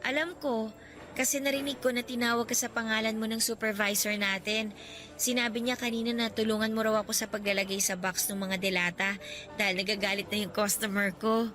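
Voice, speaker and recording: A shy young worker, bright voice. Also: female voice, on the high side, fairly even intonation, brisk, soft background music. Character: young woman contract worker, shy and self-doubting. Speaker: Karen. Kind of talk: radio drama acting.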